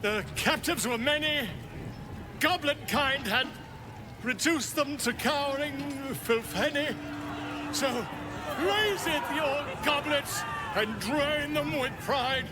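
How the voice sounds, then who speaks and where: A high-voiced, stammering captive bard. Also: male voice, slow, over music. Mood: theatrical. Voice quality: high, theatrical sing-song delivery with nervous stammering. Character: captive bard forced to sing flattery, flustered and theatrical.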